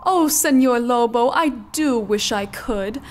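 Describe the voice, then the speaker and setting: Clever puppet ewe, played by a woman: very high voice, meek pleading, melodramatic, for children's theatre. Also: animated, takes it slowly, a little hiss or hum. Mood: feigned distress. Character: clever female sheep feigning meek helplessness. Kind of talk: children's puppet show character acting.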